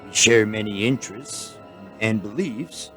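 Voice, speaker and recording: An actor playing a mild, world-weary alien chancellor with a formal, hesitant, stagey manner in a comic radio play. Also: male voice, on the low side, measured pace, sharp tone, faint music behind. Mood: conciliatory.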